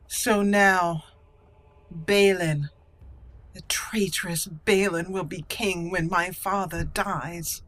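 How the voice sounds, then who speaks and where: A woman's voice: fairly low pitch, fairly even intonation, slow, fairly bright tone. A woman voicing a proud, wronged elven noblewoman in a game roleplay, dramatic and formal in delivery. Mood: shocked, bitter. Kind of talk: roleplay acting in a game let's play.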